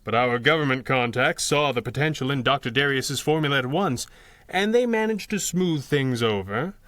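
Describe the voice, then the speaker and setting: An actor playing a calm, principled masked hero in a 1930s-style radio drama, with measured and formal diction. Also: male voice, mid-range voice, close to an everyday voice. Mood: wry, relaxed. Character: calm, principled masked crime-fighting hero, adult man.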